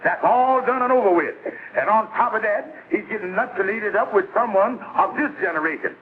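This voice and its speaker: Casting: meddling, blustering pal, high and swooping, old TV comedy with tinny sound. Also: male voice, lively intonation, brisk. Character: blustering, meddling middle-aged schemer friend. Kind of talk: 1950s television sitcom acting. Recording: very noisy, tinny vintage TV soundtrack.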